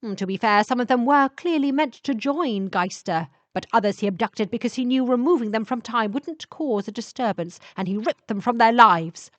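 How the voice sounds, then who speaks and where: A woman's voice: fairly high pitch, normal speed, hardly any pauses, no room sound, lo-fi sound, close to an everyday voice. A woman voicing a proud, somewhat arrogant male vampire professor who explains things emphatically in a sci-fi story. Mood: explaining.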